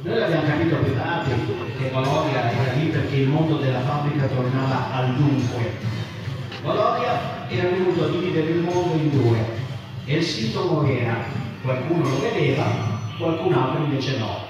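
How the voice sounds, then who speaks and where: A man with a fairly high voice delivers a spoken-word story live, colloquial and emphatic. He sounds far from the mic in a heavily reverberant venue, telling it like a first-hand memory. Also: moderate pace. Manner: colloquial, emphatic, with swearing and conversational asides. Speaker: author reading his story at a festival. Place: live festival venue at an occupied factory. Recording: very echoey, distant live festival recording with music. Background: loud electronic music.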